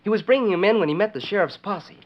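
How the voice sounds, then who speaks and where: An actor playing an eager, earnest young companion to a western hero, in 1940s radio style. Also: male voice, high voice, fast, natural voice. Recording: muffled 1940s radio recording.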